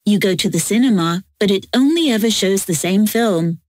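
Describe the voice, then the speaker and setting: A woman narrating textbook audio in a slow, clear reading. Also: mid-range voice, animated, darkish tone, no echo, clean studio sound.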